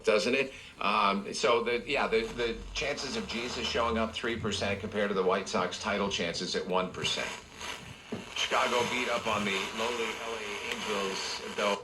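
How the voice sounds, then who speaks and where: A man's voice: on the low side, fairly even intonation, normal speed. A man presenting conversational sports talk, heard through the speaker of a portable radio. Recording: noisy playback from a portable radio.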